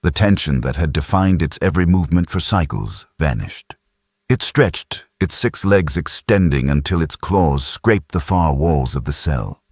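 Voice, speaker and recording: A man narrates a sci-fi audiobook in a very deep, husky voice. His delivery is dramatic, with animated pitch movement. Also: normal speed, dry recording, studio quality.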